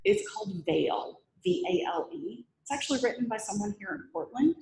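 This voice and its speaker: Woman speaker, animated and gravelly, roomy live recording. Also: medium pitch, fairly quick. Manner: lively, chatty, self-deprecating humor. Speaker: conference speaker. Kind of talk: presentation talk with Q&A. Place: meetup room with audience. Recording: echoey room.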